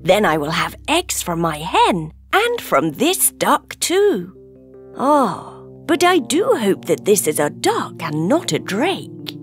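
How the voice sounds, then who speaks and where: A woman's voice: mid-range voice, wildly swooping pitch, takes it slowly. A woman playing a curious, scheming old lady in a children's cartoon, with exaggerated delivery. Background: music.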